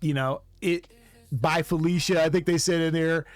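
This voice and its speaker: A man's voice: a little high, steady tone, moderate pace, soft background music. A man giving casual reaction commentary, hesitant and rambling as he thinks aloud. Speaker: music video reaction host.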